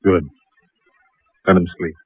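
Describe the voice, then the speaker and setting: An actor playing a grave friend bearing bad news in a radio drama. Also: male voice, slow, natural voice. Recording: muffled, narrow radio recording with music.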